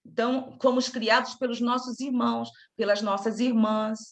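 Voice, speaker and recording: A woman from Rio de Janeiro with a Carioca accent, leading a casual study group discussion in an animated, chatty way, often breaking off and restarting. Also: fairly high pitch, moderate pace. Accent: Carioca Brazilian Portuguese. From Rio de Janeiro, Brazil. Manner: animated, chatty, self-interrupting.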